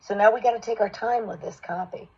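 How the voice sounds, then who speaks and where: A dull, lo-fi recording of a woman with a husky voice at a middle pitch. She is a voice acting coach, giving feedback and direction in an encouraging, explanatory manner. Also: a little fast. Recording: dull, lo-fi sound.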